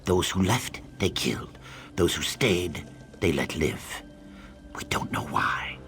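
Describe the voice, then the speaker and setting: An actor playing a solemn male reptilian keeper of sacred eggs in a fantasy game, with breathy, deliberate delivery. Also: deep voice, sing-song, fairly bright tone, full character voice. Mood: grave, guarded. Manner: slow, grave, deliberate. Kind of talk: fantasy video game dialogue. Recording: game music behind the voice.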